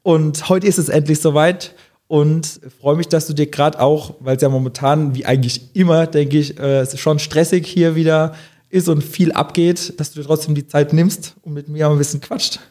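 A man hosting a podcast in a chatty, friendly way, slightly hoarse from a cold. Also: a little high, steady tone, moderate pace, warm tone. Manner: chatty, friendly, fillers like "gell" and "ne". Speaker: podcast host. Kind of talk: casual podcast chat.

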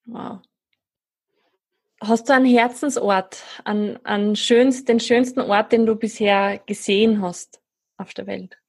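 A woman's voice: mid-range voice, steady tone, slow pace, a little mellow, slightly rough. A woman hosting a podcast, asking a guest questions in a friendly, brief way. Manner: friendly, short affirmations. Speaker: podcast host. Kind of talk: interviewing a guest.

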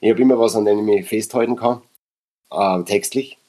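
A man's voice: on the low side, a little fast, mellow. A man from Upper Austria with an Austrian accent, an actor talking casually and thoughtfully about a reading he gave, often correcting himself. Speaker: actor and guest reader. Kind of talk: casual podcast conversation.

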